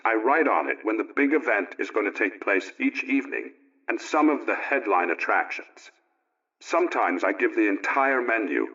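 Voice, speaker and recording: A man's voice: mid-range voice, a bit bright. A man playing a homely, contented male housekeeper, reading out a folksy, orderly letter in 1920s radio style. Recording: dull, muffled old radio recording.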